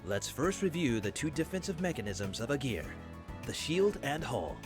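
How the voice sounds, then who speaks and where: A man playing a formal, instructive professor in a video game, lecturing in a measured, articulate way. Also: medium pitch, big pitch swings, natural voice. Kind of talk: scripted game voice acting, classroom lecture. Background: game music.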